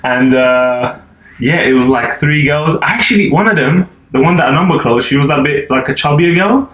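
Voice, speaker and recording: A man's voice: on the high side, moderate pace, a bit bright. A man in his twenties from Albania, a podcast guest chatting casually and loosely, full of fillers. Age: 20s. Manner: laid-back, rambling, lots of fillers and "like". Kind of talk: casual chat. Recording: echoey room, faint noise.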